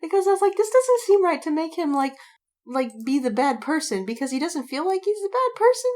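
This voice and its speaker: A woman's voice: very high voice, fairly quick. Woman rambling casually through a story. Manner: animated rambling storytelling with acted-out quotes and frequent swearing. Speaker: podcast co-host. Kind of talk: casual chat.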